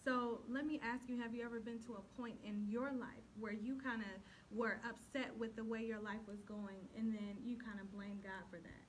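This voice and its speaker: A woman's voice: on the high side, steady tone, moderate pace, few pauses, a little hiss or hum. A woman talk show host chatting casually as she interviews guests, encouraging and responsive as they speak.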